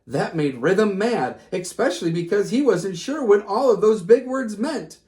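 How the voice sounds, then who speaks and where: Female storyteller for a kids' read-aloud, very lively, swooping intonation. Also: mid-range voice, unhurried. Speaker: children's storybook reader. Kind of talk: animated read-aloud narration for children.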